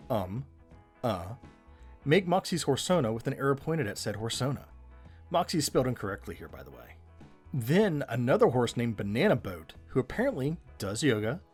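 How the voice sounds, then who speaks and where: A man co-hosting a conversational commentary on an animated episode, with an animated, bantering delivery. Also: medium pitch, normal speed, husky, faint music behind. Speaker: co-host of fan commentary video.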